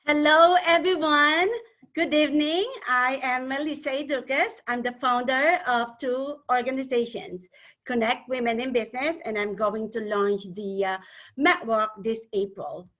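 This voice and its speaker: A woman from the Philippines with a Filipino accent, speaking earnestly as a webinar panel speaker and often pausing on fillers. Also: high-pitched, fairly even intonation, fairly bright tone. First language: a Philippine language. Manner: earnest, hesitant with many fillers. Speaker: panel speaker, networking organizer.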